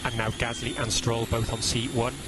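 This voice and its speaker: A man's voice: mid-range voice, completely flat delivery, moderate pace, loud music behind the voice. A man working as a race engineer on team radio, giving clipped, repeated instructions and updates and urging his driver on.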